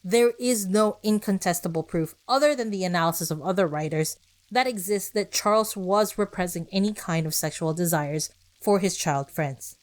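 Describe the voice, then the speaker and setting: A woman's voice: lowish voice, normal speed. A woman podcast host reading scripted narration with personal asides, speaking conversationally and directly to her listeners.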